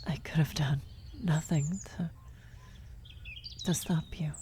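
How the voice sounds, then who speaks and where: A woman playing a worried, tender vampire sorceress in an ASMR fantasy roleplay, speaking softly and haltingly, often near a whisper. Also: low-pitched, steady tone, slight background noise. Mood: surprised, uneasy. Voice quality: soft, breathy, often slipping into whisper. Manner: halting, hesitant, gentle. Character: worried female vampire blood sorcerer, tender, guilty lover. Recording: outdoor birdsong and ambience behind. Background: birdsong, nature ambience effects.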